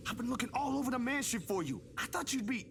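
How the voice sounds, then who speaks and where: Anxious high-pitched, creaky young man. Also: animated, fast, a little hiss or hum, heavily acted. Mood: sarcastic. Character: anxious young man, sarcastic, easily terrified. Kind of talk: visual novel game voice acting. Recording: loud music behind the voice. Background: game music.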